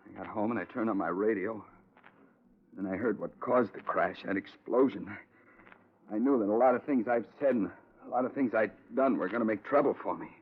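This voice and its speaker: Male actor as anxious American ground crewman, defensive, stammering, lowish voice, vintage radio drama, thin phone-like sound. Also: close to an everyday voice. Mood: anxious, confessing. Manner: halting, anxious, stammering repetitions. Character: nervous, defensive male airport ground crewman under questioning. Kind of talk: 1950s radio drama acting. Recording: thin, narrow-band old radio recording.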